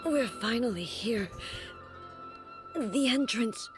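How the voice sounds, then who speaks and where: Divine female ruler for a fantasy game: grave, formal, unhurried, resolute, higher-register voice over music. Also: expressive. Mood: solemn, resolute. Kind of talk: video game character dialogue.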